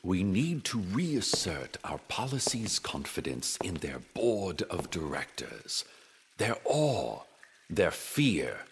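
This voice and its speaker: Deep, deliberate, imperious corporate director. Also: male voice, very animated, slight background noise, heavily acted. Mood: cold, calculating. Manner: pompous, deliberate, corporate jargon. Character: imperious, calculating corporate board director, measured and menacing. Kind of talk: dystopian audio drama acting.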